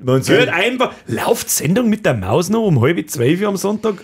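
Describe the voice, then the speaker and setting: A man's voice: high voice, sing-song, moderate pace, husky. A man with a Bavarian accent chatting casually with friends as a podcast co-host, joking and exclamatory. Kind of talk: casual chat among friends.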